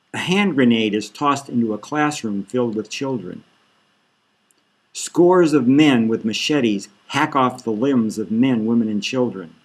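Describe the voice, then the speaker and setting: A man reading his own novel aloud in the first person, in an expressive, lively manner. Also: mid-range voice. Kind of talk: first-person audiobook narration.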